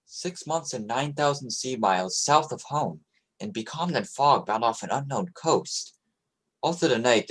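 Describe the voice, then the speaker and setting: Young guy acting a commanding young ship captain, husky voice, really varied pitch, radio play. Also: poor audio. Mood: grave storytelling. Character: young male sailing captain, commanding and steady.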